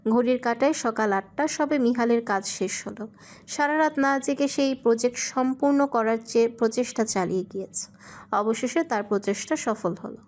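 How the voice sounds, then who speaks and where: A woman's voice: a little high, a little mellow. A woman reading scripted narration for a romantic audio story.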